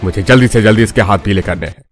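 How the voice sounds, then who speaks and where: A man's voice: on the low side, fast talker, faint music behind, lightly acted. A man voicing a domineering, angry middle-aged father in a family cartoon, commanding and scolding. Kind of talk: Hindi cartoon family drama acting.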